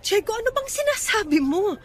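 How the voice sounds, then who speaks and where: A woman's voice: very high voice, sing-song, moderate pace. An actress playing a bewildered, guarded middle-aged former girlfriend, emotional and protesting, in a radio drama. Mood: confused. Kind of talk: radio drama acting in Tagalog. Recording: music under the voice.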